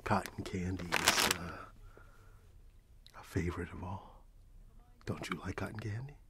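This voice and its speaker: A middle-aged man in a soft-spoken ASMR roleplay as a friendly candy shop owner, talking gently and unhurriedly close to the microphone. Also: deep voice, very noisy. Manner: gentle, whispery, unhurried customer service.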